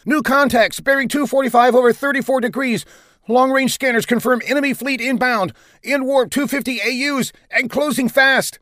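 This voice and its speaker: A frenzied young-sounding starship ensign, put on by a male narrator, calling out enemy readouts. The pitch is pushed very high and the recording is dry. It belongs to a science fiction audiobook. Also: moderate pace, few pauses, a bit bright, no echo. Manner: frenzied, rattles off military readouts. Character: frantic bridge ensign shouting out enemy contacts.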